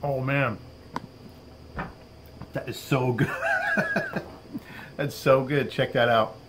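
A man in his fifties hosting a casual outdoor cooking demo, relaxed and chatty, often saying "all right", recorded on a camera mic on a patio. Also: mid-range voice, very slow, heavy background noise. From Florida. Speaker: outdoor cooking channel host. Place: outdoor covered patio at a table.

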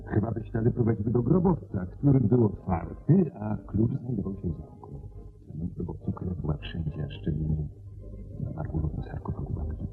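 An actor playing a well-bred gentleman client in a radio play, recounting things soberly in a formal, polite and deliberate manner. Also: fairly low pitch, animated. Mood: grave. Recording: muffled radio recording with faint noise.

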